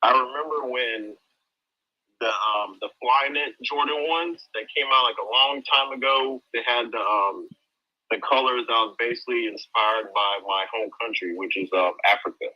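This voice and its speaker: A man's voice: medium pitch, moderate pace. A man from Ghana speaking casually as a caller in an open discussion, over a dull lo-fi voice-chat connection. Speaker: audience speaker in an open forum.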